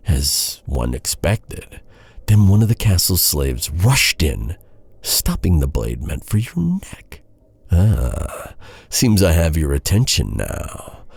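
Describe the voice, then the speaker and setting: Bitter knight, played by a man, fantasy audio drama: slow, brooding delivery with dramatic swings in pitch, gravelly, menacing. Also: darkish tone, dry recording, exaggerated voice. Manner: taunting, brooding, dramatic with heavy sighs. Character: bitter vengeful male knight guarding a captive royal. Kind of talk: immersive dark fantasy ASMR roleplay acting.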